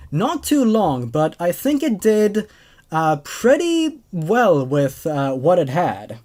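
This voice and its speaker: A man's voice: high-pitched, a little mellow. A man in his twenties hosting and narrating horror stories, recorded indoors on a desk microphone. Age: 20s. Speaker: host narrator and reviewer. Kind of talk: reading horror stories aloud with commentary. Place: small dark room indoors. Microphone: desk microphone on a boom arm.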